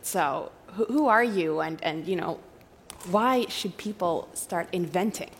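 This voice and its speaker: A woman's voice: fairly low pitch, lively intonation, measured pace, a little hiss or hum. Enthusiastic woman host on stage. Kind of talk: live stage interview. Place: round live stage, reverberant hall. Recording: long hall reverb from a live stage.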